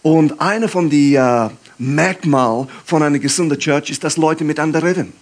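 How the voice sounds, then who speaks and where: English guy preaching in german, bit husky, slightly high voice, lots of ähs. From England. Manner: lively, halting German with fillers and laughs. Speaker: guest pastor. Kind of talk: sermon.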